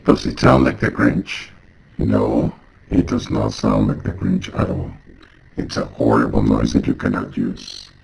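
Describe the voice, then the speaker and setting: A man voicing a scornful monster villain through a voice changer that pitches him down. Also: fairly even intonation, a little slow, gravelly, darkish tone, exaggerated voice. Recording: muffled, with faint processing noise.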